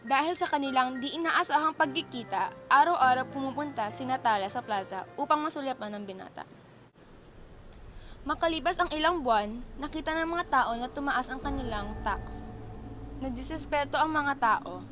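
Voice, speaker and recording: Woman narrating a radio drama, pretty high voice, music playing clearly underneath. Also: normal speed, a bit bright.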